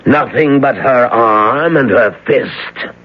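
A man's voice: mid-range voice, slow, a little hiss or hum. An actor playing a frightened, shaken man who took part in witchcraft, in an old radio drama. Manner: shaken, urgent, hushed. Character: frightened male accomplice in witchcraft. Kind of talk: 1970s radio drama acting. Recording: dull, muffled old radio broadcast.

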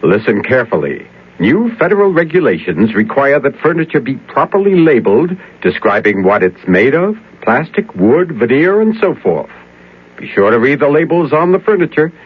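A man playing a husky, helpful ad adviser. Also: medium pitch, sing-song, moderate pace. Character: helpful male consumer adviser in a radio ad. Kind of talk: 1970s radio ad acting. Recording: tinny old radio ad.

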